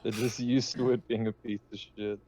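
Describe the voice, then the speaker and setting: A man's voice: lowish voice, flat delivery, normal speed, warm tone. A man playing in a tabletop game group, chatting casually with lots of slang and swearing. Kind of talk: casual chat.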